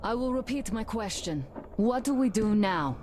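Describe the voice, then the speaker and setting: A woman's voice: a little high, expressive, normal speed, slight background noise, lightly acted. An actress playing a resolute, devoted young woman in a post-apocalyptic video game. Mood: firm, pressing. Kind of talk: video game cutscene acting. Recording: loud music behind the voice.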